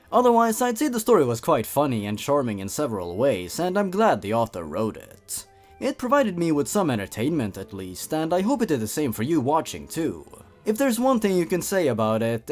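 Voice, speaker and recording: A man's voice: medium pitch, a little mellow. A man reads a story and comments on it like a scripted reviewer, in a playful, theatrical, sarcastic way. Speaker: host reading and reviewing a horror story.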